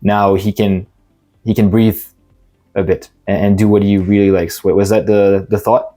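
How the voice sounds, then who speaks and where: A man hosting an interview, asking halting questions and rephrasing them with many uhs. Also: on the low side, level tone, mellow, soft background music.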